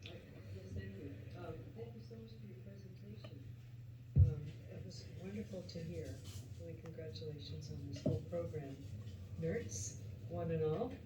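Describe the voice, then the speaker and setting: A man's voice: fairly high pitch, moderate pace. A man, a city commissioner, offering friendly, rambling comments at a public meeting. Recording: distant, echoey mic with loud room noise.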